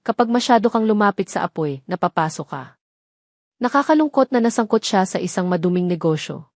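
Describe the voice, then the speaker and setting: A woman storyteller voicing a smooth, scheming older male politician in an audio drama, condescending and falsely sympathetic. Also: mid-range voice, darkish tone, no echo, clean studio sound, natural voice.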